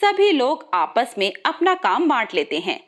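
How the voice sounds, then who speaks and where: A woman's voice: sits high, sing-song, a little slow. A woman reading a scripted moral story as its narrator.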